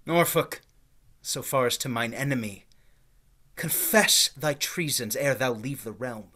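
A proud young nobleman who takes his banishment with composure. The lines come at an unhurried pace, calm and measured. It is recorded on a webcam. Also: mid-range voice. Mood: resolute. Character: proud young male noble accepting exile. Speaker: actor. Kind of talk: staged Shakespeare reading. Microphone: webcam on a video call.

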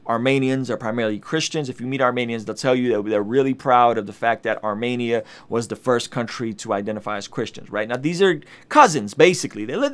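An earnest man in his thirties, raised in Azerbaijan with Russian as his first language, talks straight to camera from a small home studio. His voice is smooth and resonant at a middle pitch, animated, with frequent restarts and fillers. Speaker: video host. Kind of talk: casual commentary to camera, reading news aloud. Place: small indoor studio with colored lights.

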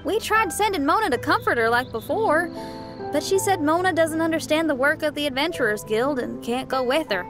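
A woman's voice: sits high, lively intonation, a little fast, over music. A woman playing a friendly, upbeat young musician and adventurer in an anime video game, casual and earnest. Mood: friendly, considerate.

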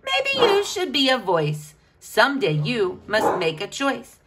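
A woman's voice: on the high side, huge pitch swings, a little slow, background noise. A woman reading a picture book aloud to children, with a sing-song, questioning delivery.

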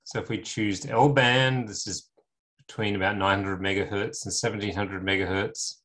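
A middle-aged man lecturing in an informal technical tutorial over a video call, explaining things step by step with pauses. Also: fairly low pitch, steady tone, fairly bright tone. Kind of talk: informal technical tutorial narrating slides and screen demo.

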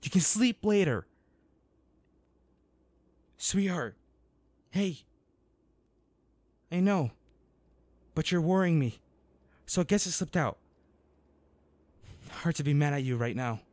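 Man acting a protective bodyguard, swinging pitch. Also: expressive, slow, pauses often, darkish tone, no room sound. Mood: urgent, frightened. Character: protective, worried male bodyguard scolding a loved one. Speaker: audio roleplay voice actor. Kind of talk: intimate dramatic audio roleplay.

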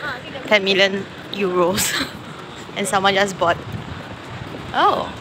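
A chatty woman talks to her viewers at an ordinary middle pitch, laughing easily as she goes. She is recorded on a phone outdoors by a windy harbour, with loud wind and street noise all around. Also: unhurried. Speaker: vlogger. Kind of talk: casual travel vlog narration.

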